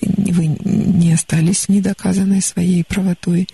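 A woman psychologist counselling a caller on a studio radio call-in show, calm and gently advising. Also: on the low side, unhurried, gravelly, breathy edge.

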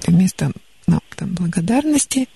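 A woman psychologist counselling a caller on a studio radio call-in show, calm and gently advising. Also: fairly low pitch, moderate pace, gravelly, husky, dry recording.

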